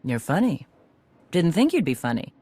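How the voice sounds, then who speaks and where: A woman's voice: deep voice, sing-song, moderate pace, dark tone, breathy edge, no echo, exaggerated voice. An actress playing a tough, blunt female clan commander in a video game, with a dry, authoritative manner. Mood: frank, wry.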